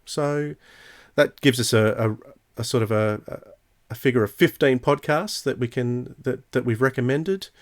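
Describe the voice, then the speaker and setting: A man's voice: mid-range voice, unhurried. A man hosting a podcast in a casual, conversational way. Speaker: podcast host. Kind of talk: casual chat.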